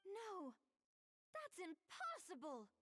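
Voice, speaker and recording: A woman's voice: very high voice, wildly swooping pitch, slow, a crowd in the background, heavily acted. A woman voicing a proud, exacting French chef in a video game, refined and self-assured, with a French accent. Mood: suspicious, disbelieving.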